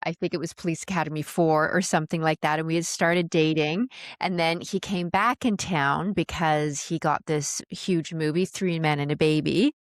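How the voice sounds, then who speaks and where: A woman's voice: deep voice, fairly even intonation, moderate pace, hardly any pauses, no room sound, studio quality. A woman of about sixty hosting an interview podcast, moving between a prepared introduction and conversation with a guest. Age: around 60.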